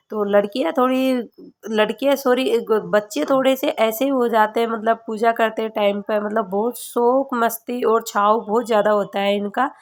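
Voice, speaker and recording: A woman in her thirties from Rajasthan, narrating her family vlog in a chatty, halting way, her voice hoarse from a cold. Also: a little high. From Rajasthan, India. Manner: chatty, halting, many filler sounds. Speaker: family vlogger.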